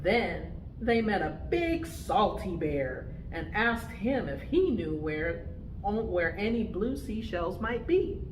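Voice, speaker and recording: A woman reading a picture book aloud to preschoolers, with expressive, deliberate phrasing. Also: mid-range voice, reverberant, background noise. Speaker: storytime reader for preschoolers.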